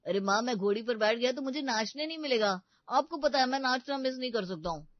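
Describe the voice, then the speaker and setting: महिला की आवाज़ नहीं, पुरुष अभिनेता: नाचने का शौकीन, मिन्नतें करता जवान दूल्हा. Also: male voice, very high-pitched, lively intonation, fast, dry recording. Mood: pleading. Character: dance-crazy young groom, pleading and easily swayed. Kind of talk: animated Hindi comedy story dialogue. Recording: dull, lo-fi studio voice-over.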